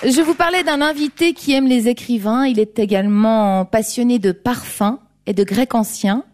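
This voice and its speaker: A woman hosting a live studio show in front of an audience, speaking in a lively presenter manner. Her voice sits a little high. She introduces performers and questions her guest. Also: normal speed, some chatter behind, slight background noise. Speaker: host.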